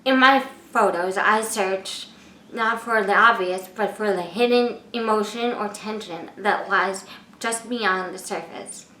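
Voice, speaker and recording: A woman in her twenties, an artist, narrating a scripted introduction to her personal video in a measured, read-aloud way. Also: mid-range voice, bright, forceful tone, slight background noise. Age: 20s. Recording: echoey room.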